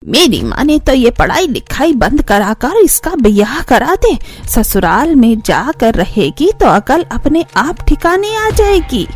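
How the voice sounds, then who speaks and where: A woman voicing a sharp-tongued, disapproving old grandmother who nags and taunts in a family cartoon. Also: high voice, big pitch swings, a little slow, husky, exaggerated voice. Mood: scolding, taunting. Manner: taunting, nagging. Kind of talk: Hindi family cartoon voice acting.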